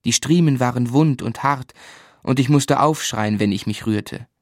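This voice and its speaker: An actor playing a reflective grown man who narrates his unhappy childhood in a German radio play, measured and grave. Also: mid-range voice, no echo, studio quality, close to an everyday voice. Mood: pained.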